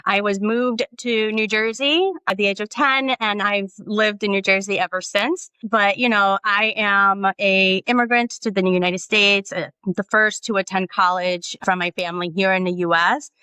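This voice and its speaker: A woman's voice: medium pitch, fairly even intonation, moderate pace, few pauses, bright, forceful tone, smooth, no room sound, poor audio. A woman from Brazil, a guest in an interview, speaking earnestly and reflectively, often adding "right?" and "you know.". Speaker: guest, nonprofit founder. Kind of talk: conversational interview.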